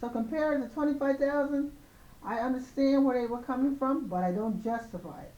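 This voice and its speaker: A slightly hoarse woman hosting a show of casual opinion commentary on celebrity news, speaking in an emphatic, admonishing way. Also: high-pitched, a little fast, dark tone. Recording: roomy, echoing, dull lo-fi sound.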